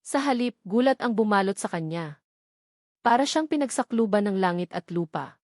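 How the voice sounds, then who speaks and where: A woman's voice: medium pitch, expressive, moderate pace, darkish tone, no room sound, clean studio sound. A woman with a Filipino accent reading a scripted, dramatic romance story as narrator.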